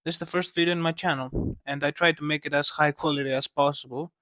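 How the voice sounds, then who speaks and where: A man's voice: on the high side, steady tone, fast talker, bright, forceful tone, no room sound. Dry-sounding man narrating a video essay. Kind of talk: scripted analysis narration with casual asides.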